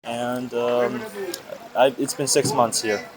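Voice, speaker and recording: A man's voice: medium pitch, little pitch movement, slow, low-quality recording. A fifteen-year-old man from Chicago, talking hesitantly with lots of "uh" and restarts. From Chicago, Illinois, USA. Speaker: student athlete. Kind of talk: casual testimonial interview. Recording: crowd noise behind.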